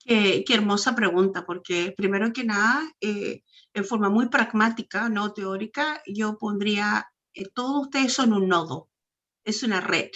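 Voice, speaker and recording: A woman's voice: medium pitch, measured pace, fairly bright tone. A woman with a Chilean accent speaking on a panel over a video call, talking earnestly and restarting her sentences. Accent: Chilean Spanish. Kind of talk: casual panel talk. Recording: dull lo-fi video call audio.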